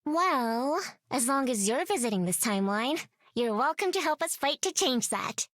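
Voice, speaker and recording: A plucky girl superhero for a video game. Her high voice is casual and confident, bouncing in pitch, recorded close and dry. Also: very animated, normal speed, a bit bright, dry recording. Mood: friendly, cautioning. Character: upbeat, confident young girl hero.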